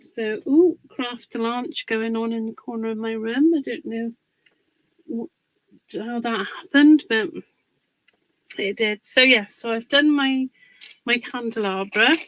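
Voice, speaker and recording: A woman, husky from a cold, hosts a relaxed live crafting session and talks her viewers through her project. Her voice sits a touch high, and she takes her time, chatty and friendly with the people watching. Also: takes it slowly, pauses often, rough recording. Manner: chatty, greets viewers by name. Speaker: craft livestream host. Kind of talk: casual live craft demonstration.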